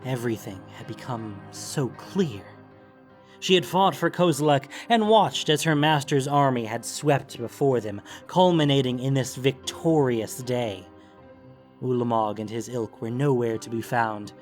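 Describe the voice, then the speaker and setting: A man's voice: medium pitch, measured pace. Male narrator for scripted fantasy fiction, theatrical and grave, medium register, music bed. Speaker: narrator of a fantasy story podcast. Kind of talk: dramatic scripted story narration. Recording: music under the voice.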